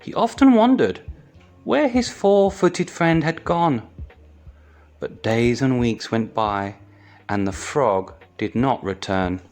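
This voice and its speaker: A man reads a picture book for children at an unhurried pace. He breaks sentences into short, deliberate phrases, and faint music plays along. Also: mid-range voice, animated, darkish tone. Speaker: storytime reader.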